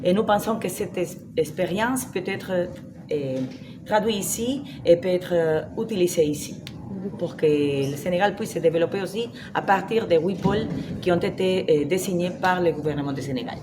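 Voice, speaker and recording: A woman's voice: lowish voice, normal speed. A woman with a Spanish accent giving an unscripted press statement, searching for her words as she goes. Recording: clearly noisy event ambience.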